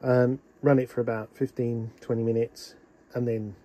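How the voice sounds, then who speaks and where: A man's voice: mid-range voice, little pitch movement, moderate pace, mellow. A man casually explaining an engine oil change on his boat, talking with many ums into a phone held in one hand in a small cabin. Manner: practical, chatty, many ums. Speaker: boat owner showing engine maintenance. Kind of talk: casual how-to narration.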